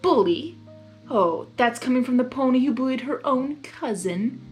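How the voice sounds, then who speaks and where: A woman voicing a mocking, pompous male chaos creature, taunting in a drawn-out theatrical way. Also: high voice, expressive, faint music behind, voice pushed up.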